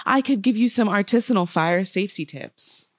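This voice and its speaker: A woman's voice: mid-range voice, animated, moderate pace, no room sound, clean studio sound, natural voice. A woman voicing a prim, pompous and overly formal fire department dispatcher in a comedy sketch read. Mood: pompous, courteous.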